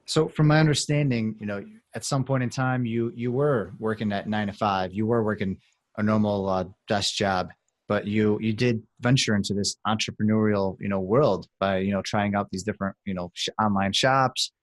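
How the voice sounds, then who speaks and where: A man hosting a podcast, conducting a casual interview in a halting, conversational manner. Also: lowish voice, fairly even intonation, no echo.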